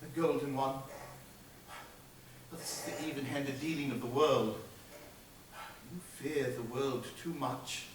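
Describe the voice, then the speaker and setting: A man voicing a sorrowful young woman who speaks softly and with restraint. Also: mid-range voice, fairly even intonation, measured pace, long pauses, heavy reverb, recorded from a distance, slight background noise, voice pushed down, close to an everyday voice, low-quality recording. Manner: soft, hushed, restrained. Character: poor young woman, sorrowful and quietly reproachful.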